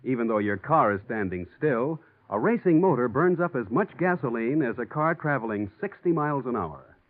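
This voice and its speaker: A man's voice: mid-range voice, lively intonation, normal speed. A man announcing as a 1940s radio sponsor's spokesman, reading scripted copy. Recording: tinny old radio recording.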